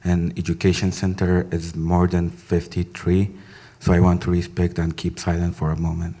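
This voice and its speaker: Very deep, flat-voiced young man from Afghanistan. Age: 20s. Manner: slow, hesitant, many fillers. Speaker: panel speaker. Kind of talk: solemn personal introduction.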